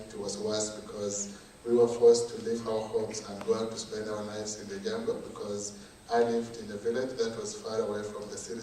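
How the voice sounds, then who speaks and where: A young Ugandan man from northern Uganda giving a measured, earnest personal testimony as a conference speaker. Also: on the low side, warm tone, slight background noise. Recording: echoey hall from far off.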